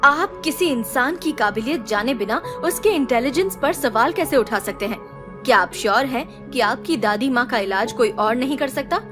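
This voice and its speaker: A self-assured young woman, played by an actress, composed and guarded, with a fairly high voice. Light music runs beneath the drama. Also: animated, normal speed, lightly acted. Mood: challenging. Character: confident, guarded young woman healer with secret purpose. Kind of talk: Hindi audio drama acting.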